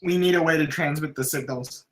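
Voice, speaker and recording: A military-minded adult mission commander, played by a man at a table read. The voice is notably high for a man. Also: a little fast, a bit bright, close to an everyday voice, low-quality recording. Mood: official.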